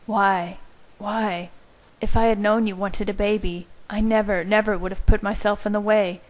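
A woman's voice: mid-range voice, steady tone, normal speed, close to an everyday voice, low-quality recording. A woman reading the lines of an anguished young man, pleading in a choked voice.

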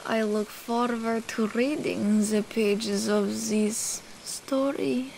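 Slow, mysterious Russian woman. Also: on the high side, fairly even intonation, vocal fry, darkish tone, a little hiss or hum, poor audio. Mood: cryptic, guarded. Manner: cool, cryptic, terse. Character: mysterious, mercenary Russian woman with secret film. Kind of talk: audio drama acting.

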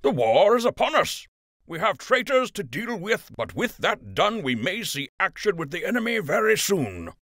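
A man's voice: sits high, very animated, moderate pace, a bit bright, dry recording, studio quality, voice pushed up, heavily acted. A man voicing a stern, rousing rabbit army captain in an audiobook, declaiming commands to his archers. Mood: rousing, martial. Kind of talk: audiobook character voice.

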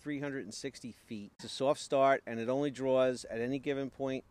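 A man's voice: mid-range voice, fairly even intonation, moderate pace, darkish tone. Practical middle-aged man explaining outdoors. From Long Island, New York. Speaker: homesteading vlogger. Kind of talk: casual chat. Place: outdoors by a tent garage.

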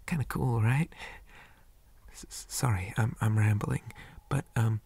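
A man playing a shy, old-fashioned zombie boy on a date in an ASMR roleplay, speaking softly and breathily, often near a whisper, with hesitant stammers and formal wording. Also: on the low side, steady tone, unhurried, vocal fry, slightly rough, dry recording, full character voice. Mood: nervous, rambling. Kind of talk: ASMR roleplay, soft half-whispered talk.

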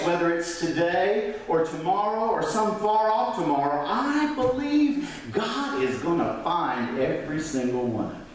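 A middle-aged man, a pastor preaching a sermon at the pulpit, swelling up and then dropping to a near whisper. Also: fairly high pitch. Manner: gestures widely at the pulpit. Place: large church sanctuary. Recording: echoey church from a distance.

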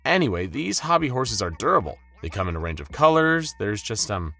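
A man voicing an eager female toy executive with a salesy pitch. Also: big pitch swings, brisk, bright, forceful tone, heavily acted.

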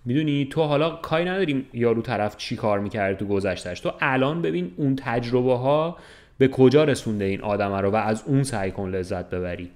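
A man's voice: medium pitch, animated, a little mellow. A man in his twenties talking casually and colloquially, explaining his view and checking that the listener follows. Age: 20s. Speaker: podcast co-host. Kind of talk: casual chat. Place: room with large window, indoors. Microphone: desk microphone.

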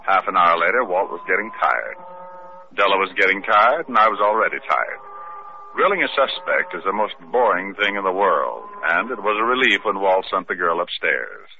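An actor playing a tough, wisecracking private detective who also narrates, in 1940s radio acting style. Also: male voice, sits low, animated, normal speed, gravelly. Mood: narrating. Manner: dry, wisecracking. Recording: tinny old radio with faint hiss.

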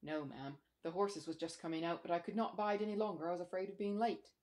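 A woman's voice: on the low side, steady tone, fast talker, a little mellow, close to an everyday voice. A talkative male servant from the countryside, acted out by a woman in a storybook. He is respectful and rambles on in homely, ungrammatical country speech. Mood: earnest, deferential. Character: deferential, talkative rustic manservant, male. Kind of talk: storybook character dialogue.

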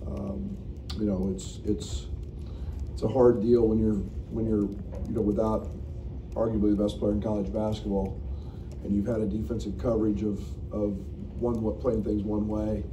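A middle-aged coach talks at a table in a press room, frank and halting, full of restarts. His voice sits a bit low. The sound is roomy and noisy, picked up from a distance. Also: little pitch movement, warm tone. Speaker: basketball coach. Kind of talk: postgame press conference. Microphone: table mic, recorded from the room.

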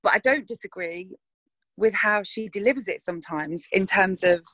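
A woman's voice: medium pitch, moderate pace, fairly bright tone, no room sound. An actress talking frankly in a casual interview, thinking aloud and restarting her sentences.

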